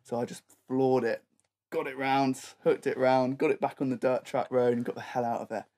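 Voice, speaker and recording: A man's voice: mid-range voice, level tone, fairly quick, dark tone. A young adult British man telling an anecdote in a casual, slangy way, quoting his own thoughts as he goes.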